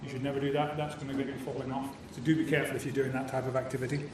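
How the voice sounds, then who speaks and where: A man's voice: medium pitch, level tone, racing. A man hosting a club meeting, cheerful and bantering as he opens the evening with jokes and a safety talk. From new Canadian citizen. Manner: cheerful, bantering, self-deprecating. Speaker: meeting host opening a club meeting. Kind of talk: jokey public-speaking address to a club audience. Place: meeting room with a live audience. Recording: echoey room, far-off mic, noisy.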